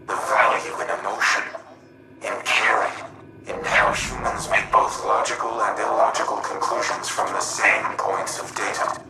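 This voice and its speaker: A very deep, echoing machine mind. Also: male voice, steady tone, vocal fry, heavy background noise. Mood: tender, reflective. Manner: formal, deliberate, analytical phrasing. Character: grave, remorseful male artificial mind turned humanlike. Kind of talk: scripted game cutscene acting. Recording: echoey, distant, loud music behind.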